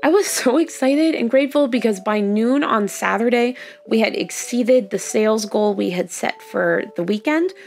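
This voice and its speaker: A young Canadian woman with a hoarse, gravelly voice, chatting casually in a vlog voice-over about her weekend. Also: on the high side, moderate pace, darkish tone, soft background music. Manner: chatty, upbeat recounting. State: hoarse, lost voice. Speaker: doll artist vlogger.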